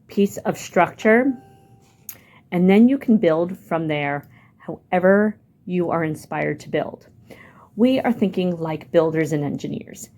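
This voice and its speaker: A woman's voice: fairly low pitch, darkish tone. A woman children's librarian guiding a craft activity, patient and encouraging.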